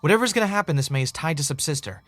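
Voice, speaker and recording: A man's voice: on the high side, animated, racing, fairly bright tone, no room sound. A man playing an anxious, obsessive fan in a fiction podcast, telling the story in an earnest, rambling way. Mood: uneasy. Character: obsessive, anxious male fan investigating a cancelled show. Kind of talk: fiction podcast narration, conversational.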